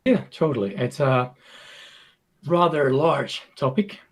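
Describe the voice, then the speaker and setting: A young man with a Finnish accent, a game designer answering interview questions modestly, a bit nervous and halting. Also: medium pitch, animated. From Finland.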